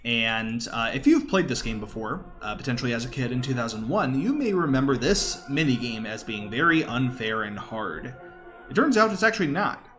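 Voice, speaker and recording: A man's voice: medium pitch, a bit bright. A man commentates a speedrun in a casual, explanatory way. His voice sits in a middle register, and he drops in wry, informal asides. Game music plays faintly behind him. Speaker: speedrunner giving live commentary.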